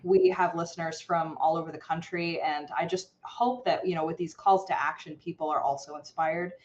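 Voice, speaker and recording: A woman's voice: on the low side, fairly even intonation, moderate pace, darkish tone, lo-fi sound. A woman hosting an interview podcast, upbeat and enthusiastic with her guests. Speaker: podcast host. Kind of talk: casual interview.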